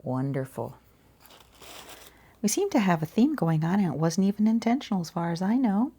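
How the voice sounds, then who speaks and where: Soft, husky, creaky-voiced woman doing ASMR. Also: on the low side, very animated, moderate pace. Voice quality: soft, breathy, often dropping to a near whisper. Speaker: ASMR roleplayer as boutique shopkeeper and channel host. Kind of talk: quiet-talking ASMR roleplay, personal-attention chat.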